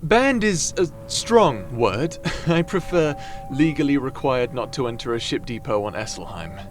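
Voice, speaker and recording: A man playing a breezy, roguish adventurer god in an ASMR fantasy audio roleplay, casual and animated with hesitant bluster. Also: medium pitch, measured pace, faint music behind. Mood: breezy, joking.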